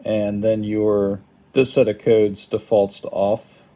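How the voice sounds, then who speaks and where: A man giving a casual step-by-step how-to, halting and thinking aloud, recorded indoors at a tabletop. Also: lowish voice, flat delivery. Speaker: product tutorial presenter. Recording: clearly noisy home recording, button clicks.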